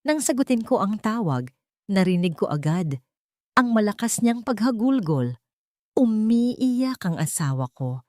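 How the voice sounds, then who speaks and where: A woman's voice: mid-range voice, big pitch swings, unhurried, dark tone, dry recording, studio quality. A woman narrating a scripted first-person story in a solemn, emotive storytelling manner.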